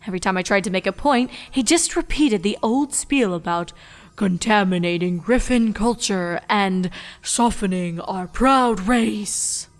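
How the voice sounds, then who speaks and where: A woman's voice: mid-range voice, measured pace, lightly acted. A woman voicing a weary, wry elder sister pony princess with a casual, dry manner. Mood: exasperated.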